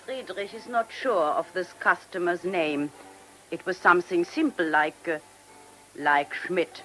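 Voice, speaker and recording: Bright-voiced, poised Viennese hostess. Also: female voice, lowish voice, unhurried, soft background music, low-quality recording. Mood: composed. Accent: German. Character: poised, beautiful Viennese restaurant hostess, guarded woman. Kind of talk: radio drama acting.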